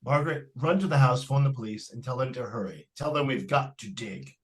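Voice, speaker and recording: A man's voice: mid-range voice, fairly quick, noisy background, natural voice. A man playing a stern, exasperated father in an old-time radio suspense drama. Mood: urgent, commanding.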